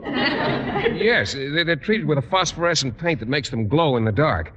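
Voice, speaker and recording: A man's voice: a little high, lively intonation, a little slow, a bit bright, some chatter behind, a little hiss or hum. A man playing a mild, polite scholarly fellow, earnest and hesitant, in a vintage radio comedy. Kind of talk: 1950s radio comedy acting. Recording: echoing, muffled old radio recording.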